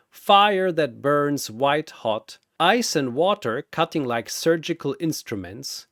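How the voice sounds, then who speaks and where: Man narrating with highly dramatic, animated delivery. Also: dry recording, clean studio sound. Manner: theatrical, intense, emphatic. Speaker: storyteller narrator. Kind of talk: dramatic scripted fight narration.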